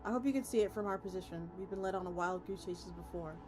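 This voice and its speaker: Lady reading as a sensible search team woman, sympathetic and tactful, story voice, music loud behind. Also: lowish voice, quick pace, close to an everyday voice. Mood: matter-of-fact.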